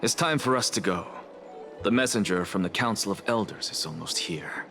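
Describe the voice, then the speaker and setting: An actor playing a stern, cynical scholar in a video game, with a measured, deadpan delivery. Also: male voice, fairly low pitch, music in the background. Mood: dry, resolute. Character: dry, stern male scholar, calm and cynical. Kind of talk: scripted game dialogue and narration.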